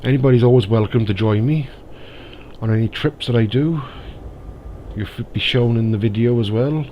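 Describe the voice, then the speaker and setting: A man's voice: mid-range voice, normal speed, highs cut off, slight background noise. A man with a slightly stuffy nose from a cold, chatting casually and thinking aloud as he plays a driving game. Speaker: gaming YouTuber. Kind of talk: casual gameplay commentary.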